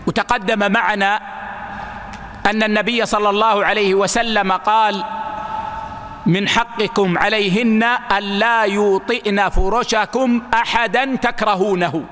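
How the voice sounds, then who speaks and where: A man giving a religious lesson, explaining texts in a measured, teaching way and addressing his listeners as brothers. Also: high voice, steady tone, a bit bright, big-room sound.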